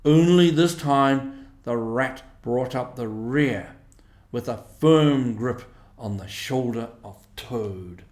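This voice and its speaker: A man narrating a storybook in a measured, expressive way. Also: medium pitch, roomy sound. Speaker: audiobook reader.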